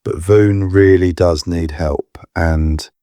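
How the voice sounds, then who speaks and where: An actor playing a decent, weary, protective husband in an audio drama, firm and earnest. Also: male voice, low-pitched, fairly even intonation, slow pace, mellow, no room sound. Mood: apologetic, weary.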